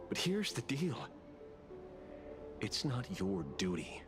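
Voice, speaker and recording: A man's voice: mid-range voice, very animated, takes it slowly. An actor voicing a stern male interrogator in a video game, cold and probing, lecturing as he questions people. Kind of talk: game dub dialogue. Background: game music.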